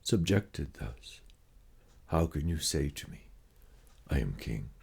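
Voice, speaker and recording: A man reciting Shakespeare monologues in a slow, theatrical and deliberate way, with weighty pauses. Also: rumbling low, big pitch swings, gravelly, a little mellow, breathy edge.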